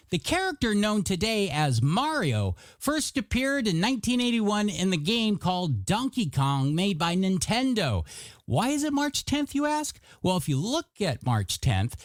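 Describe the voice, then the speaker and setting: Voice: medium pitch, no echo, clean studio sound. A radio DJ with upbeat patter and playful, chatty asides between songs. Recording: music under the voice.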